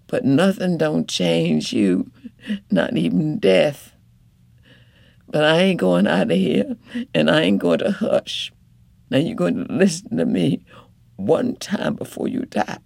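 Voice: mid-range voice, gravelly, a little mellow, breathy edge, dry recording, pitched above the performer's own voice, heavily acted. A reader playing a young wife, raised voice, defiant, earnest, dry sound. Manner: earnest, rising to fierce reproach. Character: young rural wife, hurt but defiant, pleading.

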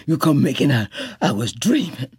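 A woman voicing a sick young man with a gravelly, drawling voice. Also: extremely low pitch, big pitch swings, normal speed, a little mellow, dry recording, full character voice. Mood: agitated. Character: easygoing young Southern man, falling sick.

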